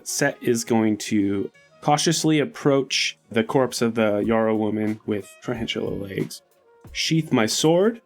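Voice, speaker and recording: A man playing a tabletop role-playing game, casually talking through what his character does. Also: lowish voice.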